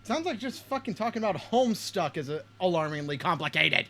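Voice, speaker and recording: A man's voice: high-pitched, very animated, brisk, fairly bright tone. A man hosting a casual reaction video, talking bluntly and swearing a lot. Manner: blunt, heavily profane.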